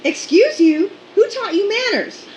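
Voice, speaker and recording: A young woman playing a feisty, sharp-tongued heroine in a radio play. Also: very high voice, big pitch swings, echoey room, slight background noise, full character voice, rough recording. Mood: angry. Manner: quick, sassy, combative.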